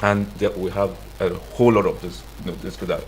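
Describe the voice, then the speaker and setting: A Nigerian man with a deep voice talks quickly as a radio interview guest. He is emphatic and repeats his points, with faint noise behind him. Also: steady tone, rough recording. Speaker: studio guest, tech entrepreneur and CEO.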